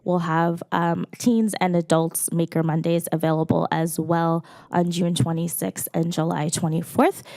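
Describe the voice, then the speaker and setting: A woman's voice: lowish voice, creaky, mellow, no room sound. A woman library supervisor with a scratchy voice, giving a report at a public meeting with frequent ums.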